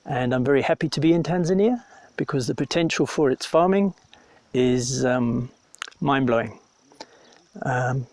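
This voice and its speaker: A man from Zimbabwe, a farm technical advisor, talking in a documentary interview, relaxed and pausing often with ums. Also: fairly high pitch, no room sound. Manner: relaxed, hesitant with many ums.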